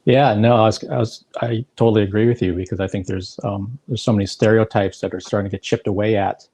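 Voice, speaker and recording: A man's voice: fairly low pitch, fairly even intonation, quick pace, a little mellow, slightly rough. A man hosting an interview, asking long rambling questions with hedges and fillers. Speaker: interview host. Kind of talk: casual interview chat.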